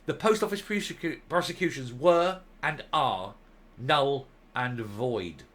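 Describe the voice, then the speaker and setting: A male commentary host reading a document aloud and commenting on it, stressing key words with deliberate, emphatic phrasing. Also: mid-range voice, fairly bright tone.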